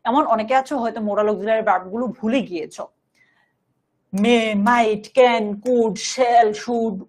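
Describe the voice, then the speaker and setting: High-voiced Bangladeshi woman teaching a class. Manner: instructive, repeatedly checking understanding, slowly dictating example sentences. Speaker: online English grammar teacher. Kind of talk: live class teaching, explaining rules step by step with questions to students.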